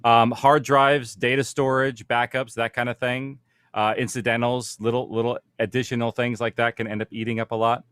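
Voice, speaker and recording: A man's voice: medium pitch, little pitch movement, normal speed, fairly bright tone, smooth, no echo, clean studio sound. A man speaking as a producer on a panel, explaining practical matters informally and conversationally, thinking aloud as he goes.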